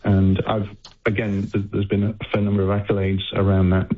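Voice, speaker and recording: An older man from England with a Liverpool accent, a scientist interviewed by phone, speaking haltingly and repeating words as he thinks. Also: fairly low pitch, monotone, faint music behind. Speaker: scientist guest. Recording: tinny phone line.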